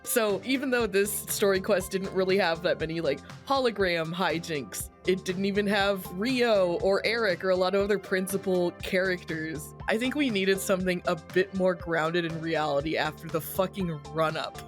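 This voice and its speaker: Wry podcast co-host, mid pitch. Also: moderate pace, few pauses, soft background music. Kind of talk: casual podcast chat.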